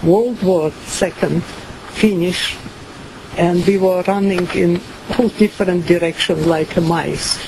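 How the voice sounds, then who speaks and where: An older woman from Ukraine with a Ukrainian accent, giving slow, halting personal testimony full of fillers and pauses. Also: on the low side, steady tone, creaky, background noise. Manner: many pauses and fillers, non-native phrasing. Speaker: survivor giving a talk.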